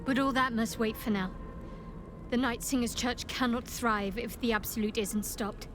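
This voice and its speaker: An actress playing a proud, guarded young priestess of darkness in a video game, haughty and measured with dramatic pauses. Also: female voice, on the high side, steady tone, music in the background, natural voice. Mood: proud, resolute.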